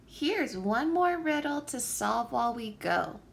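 A woman reading a picture book aloud for young children with expressive, deliberate phrasing. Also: a little high, vocal fry. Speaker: children's story reader, teacher.

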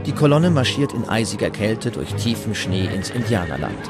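A man's voice: fairly low pitch, sing-song, moderate pace. A man reading scripted documentary narration as the narrator. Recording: music bed behind the voice. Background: music.

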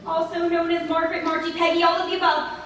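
A woman's voice: very high voice, level tone, fast talker. A woman playing a perky young dancer in a stage musical, projecting her lines. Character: perky young female dancer introducing herself. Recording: echoey theatre from far, faint noise.

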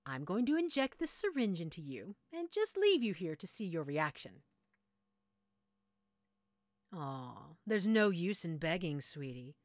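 A woman's voice: on the low side, big pitch swings, normal speed, no room sound, clean studio sound. A woman playing a sadistic, gleeful mad scientist in an audio roleplay, teasing her captive listener with sweet condescension. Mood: mock-sweet, menacing.

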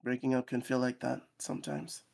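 A man voicing a gentle, understanding raccoon in a visual novel, consoling and plain-spoken, with an old grief. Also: mid-range voice, fairly even intonation, brisk, gravelly, natural voice.

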